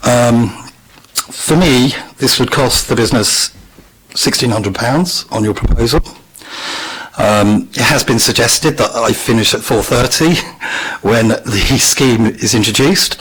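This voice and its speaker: A man with a croaky voice, a local business owner, politely making his case to a council meeting. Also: medium pitch, unhurried, a bit bright, slight background noise, rough recording. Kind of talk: public comment.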